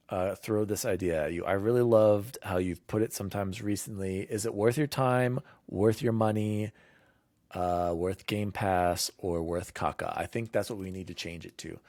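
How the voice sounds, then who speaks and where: A man with a fairly low voice runs a casual gaming podcast. He talks loosely and upbeat and laughs easily. The sound is dry and close, with no room around it. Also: unhurried, darkish tone, no room sound. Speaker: podcast host.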